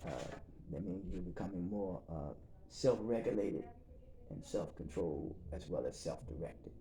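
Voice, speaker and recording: A man leading a recovery group session in a calm, measured way, with encouraging murmurs and thoughtful pauses. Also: deep voice, slight background noise. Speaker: facilitator and reverend.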